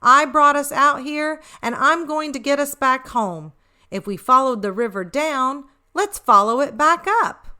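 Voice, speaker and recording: A woman's voice: high-pitched, unhurried, bright, forceful tone, pitched above the performer's own voice, exaggerated voice. A woman voicing a young female beagle, a curious, earnest and emotive storybook heroine. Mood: determined.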